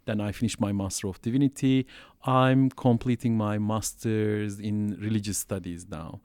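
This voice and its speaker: A man's voice: mid-range voice, darkish tone, dry recording, studio quality. A man in his thirties from Turkey, earnestly telling his life story as a guest in a classroom. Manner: earnest, repeats "so", laughs lightly. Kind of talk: personal storytelling in interview. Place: college classroom.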